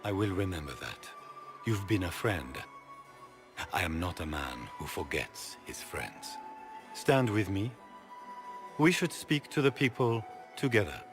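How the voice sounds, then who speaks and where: Proud soldier-emperor for a fantasy game: solemn, declamatory, slow delivery with lively inflection, over music. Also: male voice, low-pitched, heavily acted. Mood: grave, sincere. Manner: formal, declamatory, measured oratory. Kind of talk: dramatic game voice acting.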